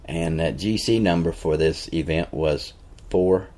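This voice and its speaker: A man's voice: low-pitched, a little slow, a little mellow. A man hosting a casual podcast, rambling a little with fillers and restarts. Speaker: podcast host.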